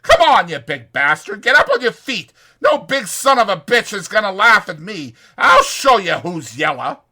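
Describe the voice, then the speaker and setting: A scrappy little male bully performed by a man in a dramatized audiobook, aggressive and foul-mouthed. He barks threats at a raised pitch, with a forceful, sharp edge. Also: big pitch swings, normal speed, heavily acted. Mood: enraged. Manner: raging, shouted threats. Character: small hot-tempered young bully, aggressive and foul-mouthed.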